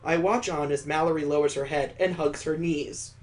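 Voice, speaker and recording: Male streamer, slightly high voice, reading story narration and adding casual commentary. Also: fairly even intonation, moderate pace, faint music behind. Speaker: streamer reading a visual novel aloud.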